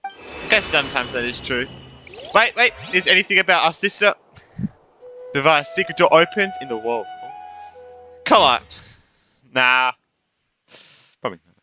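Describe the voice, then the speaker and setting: A man's voice: fairly high pitch, animated, moderate pace, long pauses, sharp tone, soft background music. A man giving casual commentary on a game playthrough, rambling in muttered asides. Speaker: gaming video creator.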